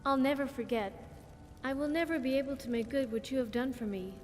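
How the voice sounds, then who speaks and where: A woman's voice: high-pitched, a little fast, darkish tone, big-room sound, soft background music, close to an everyday voice. A woman playing a wistful, earnest young vault survivor in a video game, speaking in careful, formal phrases. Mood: sad, grateful. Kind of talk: video game companion dialogue.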